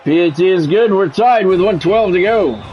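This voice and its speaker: A man's voice: high-pitched, lively intonation, normal speed, slightly rough, muffled recording, soft background music. A man doing play-by-play of his own football video game in short, blunt phrases, mixed with casual gamer talk.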